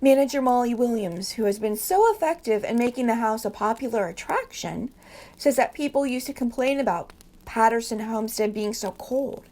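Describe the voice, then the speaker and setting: A woman reading stories aloud from a book as a storyteller, with measured, deliberate phrasing. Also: fairly high pitch, darkish tone.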